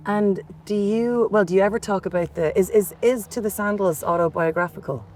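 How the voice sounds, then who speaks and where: An interviewer asking thoughtful questions in a soft-spoken manner. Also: male voice, high voice, brisk, a little hiss or hum.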